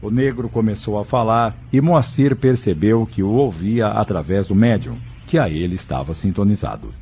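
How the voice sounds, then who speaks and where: A man's voice: fairly low pitch, moderate pace, mellow, slightly rough. A man narrating an audio drama from a script. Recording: dull lo-fi audio drama recording.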